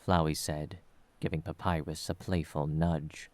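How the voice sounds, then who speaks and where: A man's voice: extremely low pitch, little pitch movement, a little slow, darkish tone, dry recording. A man reading scripted third-person story narration as the narrator of an audio drama.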